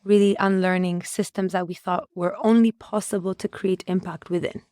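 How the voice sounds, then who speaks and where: A woman's voice: lowish voice, little pitch movement, moderate pace, mellow, no room sound. A woman from Ethiopia, a guest on a podcast, speaking with very little rise and fall in her voice. Her recording is dry and close. She answers reflectively, often breaking off and restarting words as she searches for the right phrasing. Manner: thoughtful, with frequent restarts while searching for words. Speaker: conservation adviser, podcast guest.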